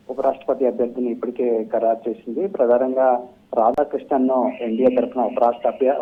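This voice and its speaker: A male news correspondent, giving a halting, repetitive live report over a phone line. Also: medium pitch, breathy edge. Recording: dull, narrow phone-in line.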